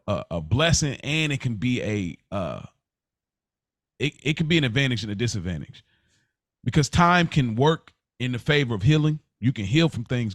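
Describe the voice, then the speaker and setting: A man's voice: medium pitch, a bit bright, no room sound, studio quality. An American man co-hosting a podcast, talking casually and reflectively, often repeating and rephrasing as he explains. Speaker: podcast co-host.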